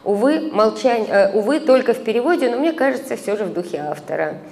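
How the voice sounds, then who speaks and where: A woman's voice: a little high, animated, creaky, a little mellow. Woman reading a paper in an echoing hall. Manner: measured reading with hesitant fillers, recites verse. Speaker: conference speaker, translator. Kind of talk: reading an academic paper aloud. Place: conference hall, indoors. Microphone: stand microphone at a lectern. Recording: reverberant hall, faint background noise.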